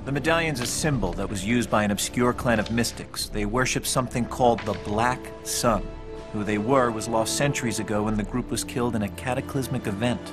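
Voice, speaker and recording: A man playing a measured intelligence officer who gives an explanatory briefing in a video game cutscene. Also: medium pitch, over music, close to an everyday voice. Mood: measured, serious.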